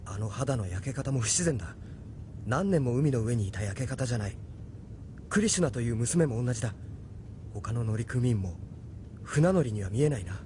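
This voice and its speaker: A man's voice: mid-range voice, animated, moderate pace, long pauses, darkish tone, slight background noise, natural voice. A man playing an earnest, wary young man who speaks seriously and questioningly in an audio drama. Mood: suspicious. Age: young adult. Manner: plain-spoken, questioning, serious. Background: music.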